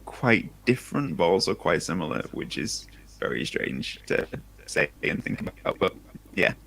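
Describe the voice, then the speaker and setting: A man's voice: sits low, very animated, normal speed, gravelly, fairly bright tone, breathy edge, low-quality recording. A man, the author hosting a livestream, talking casually and hesitantly with many fillers. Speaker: author host.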